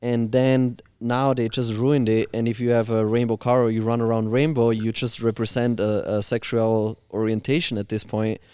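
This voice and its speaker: A man's voice: mid-range voice, level tone, normal speed, few pauses, dark tone, clear, resonant tone, dry recording, studio quality. A man from Austria, a podcast guest talking bluntly and opinionatedly in casual chat. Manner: blunt, opinionated, swears freely.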